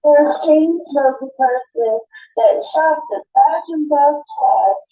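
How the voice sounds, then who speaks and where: A woman's voice: high voice, slow pace. A woman in a defensive, insistent argument on a live panel, repeating herself, on dull lo-fi audio.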